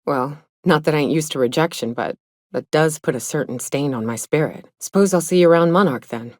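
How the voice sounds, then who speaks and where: An actress playing a tough, haunted, hard-drinking mercenary in a video game, talking in a blunt, folksy, world-weary way. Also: female voice, sits low, a little fast, dry recording, studio quality, natural voice. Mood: wry. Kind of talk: video game character dialogue.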